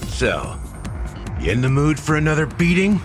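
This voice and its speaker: A man's voice: fairly high pitch, big pitch swings, normal speed, music in the background. A man voicing a cocky, laid-back fighter in a video game, with a drawling, theatrical manner. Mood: cocky relish.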